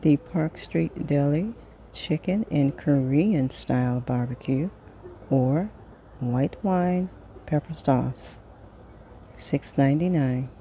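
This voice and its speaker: A woman casually reading through a sales flyer item by item, pausing between phrases in an unhurried way. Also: low voice, dark tone, slight background noise, rough recording. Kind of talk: casual product read-through.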